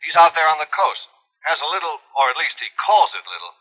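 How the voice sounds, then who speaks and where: A husky, slightly high male insurance official, earnest and clipped in vintage radio drama style. The recording is tinny and phone-like. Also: big pitch swings, fast. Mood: earnest, urgent. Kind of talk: 1950s radio drama acting.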